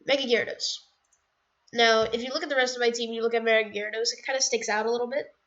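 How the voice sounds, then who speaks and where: A woman's voice: on the high side, lo-fi sound. A woman with a head cold and a nasal voice, giving a casual, emphatic gaming analysis straight to her viewers. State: sick with a cold. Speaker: video creator.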